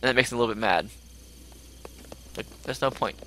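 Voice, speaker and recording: American man with a bright, forceful voice. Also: medium pitch, fairly quick, a little hiss or hum. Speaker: livestream host playing a video game. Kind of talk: casual gaming chat.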